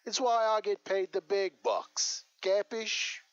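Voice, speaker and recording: A man's voice: high voice, animated, takes it slowly, smooth, dry recording, dull audio, voice pushed up. A man voicing a streetwise, self-important middle-aged male gym owner with a slight Boston accent, for a game. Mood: wisecracking. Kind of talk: game voice acting.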